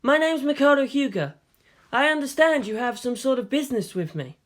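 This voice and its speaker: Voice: high voice, expressive, fairly quick, pitched above the performer's own voice. A narrator voicing a mild, geeky young male lieutenant who is reluctant and slightly curt, in an audiobook story. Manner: soft-hearted, slightly curt.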